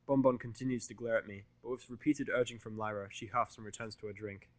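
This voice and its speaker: A man's voice: fairly low pitch, fairly even intonation, quick pace, dry recording. A man narrating a scripted first-person story as an audio fiction reader.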